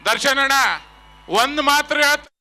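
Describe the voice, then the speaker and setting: High-pitched North Karnataka man rallying fans. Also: fairly even intonation, normal speed, bright, forceful tone, soft background music. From Karnataka, India. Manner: rallying, chant-leading, addresses crowd directly. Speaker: guest speaker at a film promotion event. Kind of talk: rousing public stage speech to fans. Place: stage at a public event.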